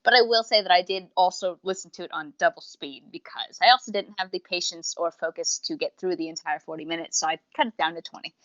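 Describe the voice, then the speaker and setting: A woman's voice: on the low side, fast talker, hardly any pauses. A woman co-hosting a podcast, chatting casually and explaining her opinions in long, wordy, unscripted sentences. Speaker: podcast co-host. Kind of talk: casual unscripted review chat. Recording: slightly dull, lo-fi microphone sound.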